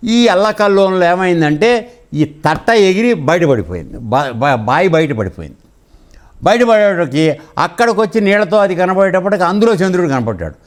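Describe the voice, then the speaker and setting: High-pitched male spiritual teacher, conversational. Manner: didactic, conversational, checks understanding with questions. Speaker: spiritual teacher giving a discourse.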